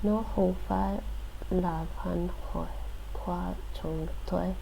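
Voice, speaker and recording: A woman streamer thinking aloud as she works through a lesson, hesitant, with many fillers and restarts, relaxed and self-deprecating. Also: lowish voice, unhurried, gravelly, a little hiss or hum, low-quality recording. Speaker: live streamer. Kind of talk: thinking aloud while doing a language app.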